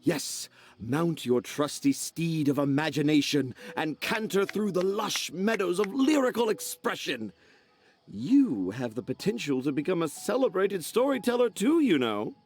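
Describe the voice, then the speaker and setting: A man's voice: fairly high pitch, big pitch swings, a little slow. An actor playing a dreamy, grandiose storyteller father in a video game, theatrical and florid in his poetic speech. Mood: inspired. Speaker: children's author. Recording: music behind voice.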